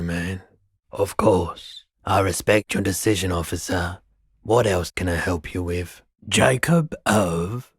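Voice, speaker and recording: An actor playing a laid-back, philosophical pawnbroker in a video game, speaking in a measured, deadpan, slightly mystical way. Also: male voice, low-pitched, dry recording, studio quality, heavily acted. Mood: calm, courteous. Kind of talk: scripted game dialogue.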